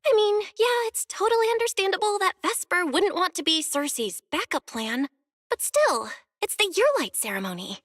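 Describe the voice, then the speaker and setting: A woman's voice: very high voice, moderate pace, no echo, studio quality, exaggerated voice. A woman voicing a friendly, earnest young female video store manager in an anime-style game, speaking expressively and conversationally. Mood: flustered, dramatic. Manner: expressive, animated, conversational delivery. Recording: background music under the voice.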